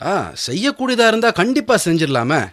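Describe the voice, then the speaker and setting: Evasive, animated brother-in-law. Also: male voice, high-pitched, fairly quick. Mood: breezy. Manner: hesitant, glib. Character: evasive, stingy, falsely friendly brother-in-law. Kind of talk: Tamil radio drama acting.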